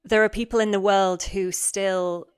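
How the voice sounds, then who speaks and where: A woman's voice: mid-range voice, steady tone, measured pace, clear, resonant tone. Woman host, mid-range voice, reflective and affirming. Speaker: podcast host. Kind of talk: interview conversation.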